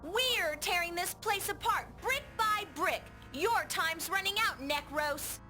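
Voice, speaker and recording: High, brash dwarf artisan. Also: female voice, slow, over music. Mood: determined. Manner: brash, confident. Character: feisty, boastful dwarf artisan, greedy and determined. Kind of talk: video game cutscene acting.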